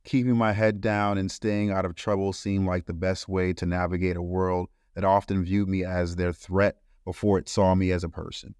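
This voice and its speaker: A man from Brooklyn, a podcast co-host reading a solemn, deliberate reflection. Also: deep voice, little pitch movement, darkish tone, clean tone, dry recording, clean studio sound. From Brooklyn, New York. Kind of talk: scripted reflective monologue.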